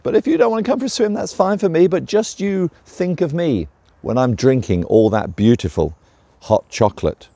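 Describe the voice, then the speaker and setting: A man voicing a cheerful, friendly male badger who talks in a brisk, upbeat way. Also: medium pitch, big pitch swings, warm tone, dry recording. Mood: breezy.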